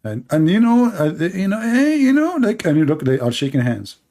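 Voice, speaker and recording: A man's voice: high voice, big pitch swings, brisk, warm tone. A man, hosting a commentary show and mocking video clips in a sarcastic, rambling way with many fillers.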